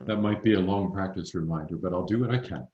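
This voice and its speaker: A male voice coach speaks in a firm, matter-of-fact way. His voice sits somewhat low, and the recording carries a roomy echo. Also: fast, dull audio. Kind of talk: voice lesson conversation.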